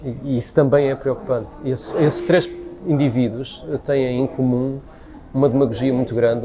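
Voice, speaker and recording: A man in his forties with a European Portuguese accent, speaking as an interview guest in a busy café and thinking aloud with frequent restarts. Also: mid-range voice. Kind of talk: casual conversational interview. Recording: café crowd noise behind. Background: café crowd chatter.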